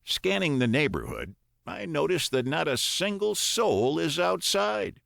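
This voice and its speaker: Slow, expressive male narrator with creaky voice. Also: a little high, no room sound, studio quality. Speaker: audiobook narrator. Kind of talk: scripted first-person story narration.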